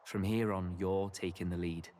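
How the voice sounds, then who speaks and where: A man playing a gentle, wry older brother in a video game, unhurried and tender. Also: low-pitched, steady tone, lightly acted. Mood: tender resolve.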